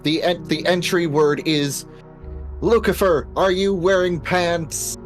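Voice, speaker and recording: A man's voice: high-pitched, steady tone, slow, faint music behind. A man at a tabletop game, casually narrating what his characters say and do in the third person.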